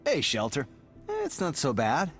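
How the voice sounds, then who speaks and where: An actor playing an easygoing, upbeat squad member with a dry, casual manner in game cutscene dialogue. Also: male voice, a little high, wildly swooping pitch, unhurried, a bit bright, slightly rough, exaggerated voice. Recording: music under the voice.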